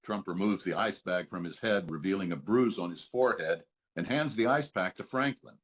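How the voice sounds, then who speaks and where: Male narrator for a table read: lower-pitched, rough husky tone, reading scene descriptions, slightly muffled lo-fi sound. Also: brisk. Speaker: stage directions narrator.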